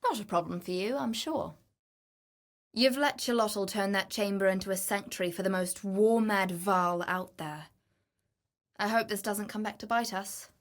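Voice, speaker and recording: A woman playing a wry, adventurous treasure-seeking explorer in a video game, speaking in a measured, theatrical, knowing way. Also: mid-range voice, natural voice. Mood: wary, pensive. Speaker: game companion character. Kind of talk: scripted video game voice lines.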